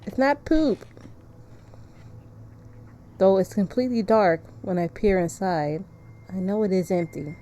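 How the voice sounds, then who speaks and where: A woman's voice: mid-range voice, unhurried, long pauses, warm tone. A woman streaming a visual novel, chatting casually and reading the story text aloud.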